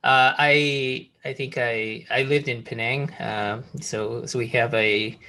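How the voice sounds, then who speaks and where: Bright-voiced enthusiastic male host. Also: mid-range voice, steady tone, slow. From Malaysia. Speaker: podcast host. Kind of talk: founder interview.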